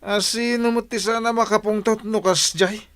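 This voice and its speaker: A man's voice: high voice, fairly even intonation, normal speed, a bit bright. A man playing a jealous, hot-tempered village husband who accuses and confronts, in a radio drama. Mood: furious, accusing. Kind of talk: Ilocano radio drama acting.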